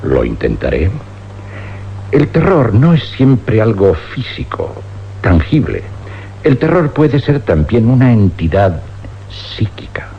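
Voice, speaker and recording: An actor playing a weary older scientist in a suspense radio drama, speaking with grave deliberation and dramatic pauses in an accent from Spain. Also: male voice, on the low side, big pitch swings, exaggerated voice. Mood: ominous, intense. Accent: Spanish, from Spain. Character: weary older scientist confessing an uncanny experiment. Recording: dull, noisy old radio recording with hum.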